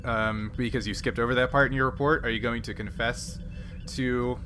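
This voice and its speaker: A man's voice: medium pitch, fairly even intonation, normal speed, sharp tone, slight background noise. A man narrating as game master of a tabletop roleplaying game, explaining in a conversational way. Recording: music playing behind the voice. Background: music.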